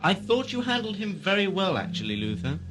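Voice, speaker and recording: A man's voice: high-pitched, sing-song, brisk, music in the background, low-quality recording. An actor playing a sinister, lordly asylum keeper and father in a science fiction audio drama, silky, mock-courteous and condescending. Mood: silky menace.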